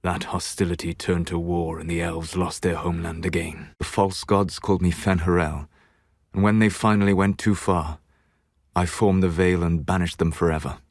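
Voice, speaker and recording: A proud, scholarly male elf mage for a fantasy game. His delivery is measured and precise, with a dry, superior edge. The voice sits in a low to middle male register and is recorded close and dry, with no room around it. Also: flat delivery, creaky, no room sound. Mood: grave, solemn. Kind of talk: video game character dialogue.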